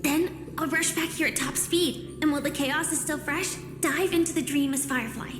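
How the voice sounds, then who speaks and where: An actress voicing an earnest, determined young woman fighter in an anime game, speaking softly. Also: high voice, fairly even intonation, normal speed, natural voice. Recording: echoey reverberant hall, music behind. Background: game music.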